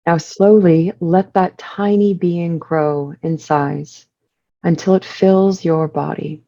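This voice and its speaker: A woman leading a guided meditation, giving soothing instructions in measured short phrases with pauses between them. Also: on the low side, steady tone, mellow, lo-fi sound.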